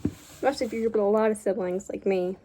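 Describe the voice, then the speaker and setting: A woman's voice: mid-range voice, steady tone, fast talker, mellow. A young woman vlogging casually while she draws, chatty and rambling, with a slight cough and a voice she says she is losing. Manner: chatty, rambling, self-correcting. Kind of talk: casual drawing vlog chat.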